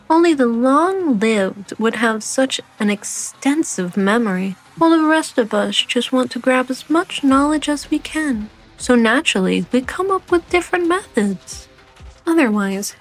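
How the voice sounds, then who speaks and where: A woman's voice: a little high, poor audio. A woman voicing a smug, mockingly sweet assassin with a teasing, self-assured drawl in a fan-dubbed game drama. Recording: background music under the voice.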